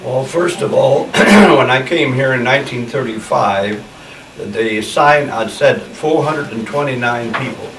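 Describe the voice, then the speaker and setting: An elderly man tells his story in a plainspoken, rambling manner, pausing often to search for words. His voice has a middle pitch. He is heard from some distance in an echoey room with noticeable background noise. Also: a bit bright. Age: 80s. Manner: rambling, many uhs, plainspoken recollection. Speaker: interviewee. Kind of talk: oral history interview. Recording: distant mic in a roomy space with background noise.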